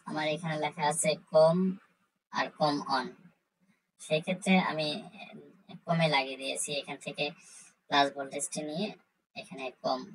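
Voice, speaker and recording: A low-voiced woman presents an informal technical tutorial. Her voice is husky and somewhat unclear in tone. She explains haltingly, step by step, often repeating herself. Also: rough recording. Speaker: tutorial host.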